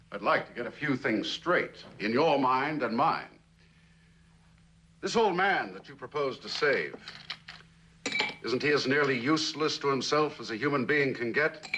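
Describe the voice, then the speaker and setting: A middle-aged man playing a stern, cynical company boss in a 1960s television drama, lecturing in a measured, condescending way. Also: mid-range voice, pushed and bright, a little hiss or hum. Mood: cold, probing, then pressing, impatient. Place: indoor living room set.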